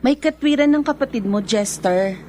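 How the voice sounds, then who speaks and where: A woman acting in a Filipino radio drama as a jealous, sharp-tongued, taunting young girlfriend. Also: on the high side, expressive, normal speed, over music, close to an everyday voice. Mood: accusing, taunting.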